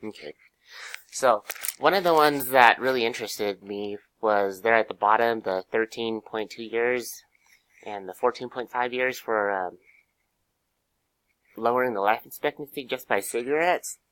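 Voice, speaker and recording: Hoarse young man, student presenter with a cold, casual and halting, middle pitch, dry sound. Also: a bit bright, no echo, poor audio. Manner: casual, many fillers, pauses. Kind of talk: class presentation.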